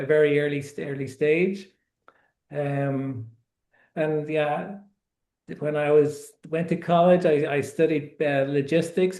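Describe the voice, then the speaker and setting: A man in his forties with an Irish accent, his voice sitting a little higher than most men's. He tells his story in an unhurried, reflective way, often doubling words as he searches for them. The sound carries a noticeable room echo. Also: a little mellow. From Ireland. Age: 40s. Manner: reflective, repeats words as he gathers thoughts. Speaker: guest author. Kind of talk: casual interview storytelling.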